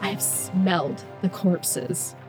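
A woman's voice: medium pitch, normal speed, music in the background, lightly acted. A woman voicing a furious, frightened female Exo survivor who pleads in anguish, in a story read aloud.

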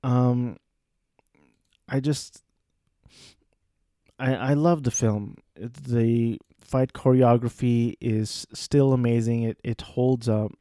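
A man's voice: mid-range voice, steady tone, slow pace, pauses often, mellow, no room sound, clean studio sound. A man talking casually on his own, hesitant, with many fillers and restarts. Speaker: solo commentator. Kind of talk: casual rambling chat.

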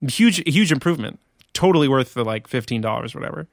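A man's voice: medium pitch, lively intonation, quick pace, no echo, studio quality. A man co-hosting a podcast, talking in a loose, laid-back way with casual swearing. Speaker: podcast co-host. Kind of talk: casual chat.

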